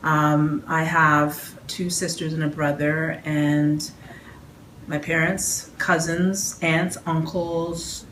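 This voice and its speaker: A woman's voice: low voice, level tone, takes it slowly, a bit bright. A woman with a Canadian accent hosting a home cooking vlog, talking chattily with plenty of fillers. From Toronto. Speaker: vlog host. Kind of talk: casual announcement. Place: dining room at home. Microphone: camera across the table. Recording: roomy, distant, faint hiss.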